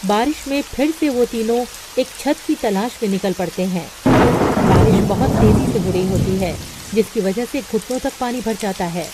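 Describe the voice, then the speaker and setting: A woman narrating a cartoon storybook in a steady, plain way. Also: mid-range voice, normal speed, heavy background noise.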